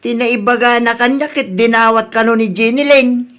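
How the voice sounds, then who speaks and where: A man performs a fretful, scolding village husband with a very high, sharp voice. He has an Ilocano accent and plays it as radio comedy drama. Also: fairly even intonation, normal speed, clear, resonant tone. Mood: exasperated.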